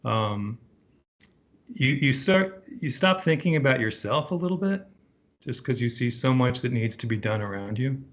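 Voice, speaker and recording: A man's voice: medium pitch, lively intonation, normal speed, a bit bright. Earnest, reflective man, slightly muffled. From Puerto Rico. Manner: earnest, thoughtful, many ums and restarts. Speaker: guest, emergency physician. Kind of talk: reflective interview conversation.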